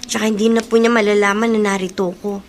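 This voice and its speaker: A woman's voice: mid-range voice, flat delivery, fast, slight background noise, natural voice. An actress playing a polite, grateful teenage orphan girl in a Filipino radio drama, deferential and eager to please. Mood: earnest.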